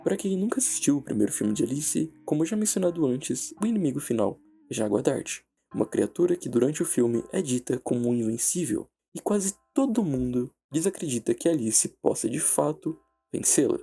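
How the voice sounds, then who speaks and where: A Brazilian man narrating a scripted horror analysis in a measured, dramatic way with deliberate pauses. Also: on the high side, expressive, gravelly, mellow, breathy edge. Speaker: narrator and video essayist. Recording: background music under the voice. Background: music.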